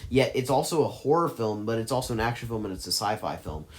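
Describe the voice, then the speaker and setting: A man's voice: mid-range voice, expressive, quick pace. A man giving casual, effusive commentary on his favorite movies, rambling and correcting himself as he goes. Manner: gushing, effusive praise. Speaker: movie list vlogger.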